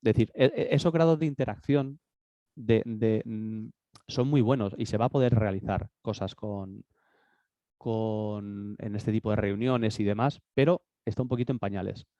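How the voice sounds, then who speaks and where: Low, mellow man with a cold. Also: normal speed, dry recording, highs cut off. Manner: hesitant, many fillers and restarts. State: has a cold. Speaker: speaker at a business seminar. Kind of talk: informal presentation. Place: office desk.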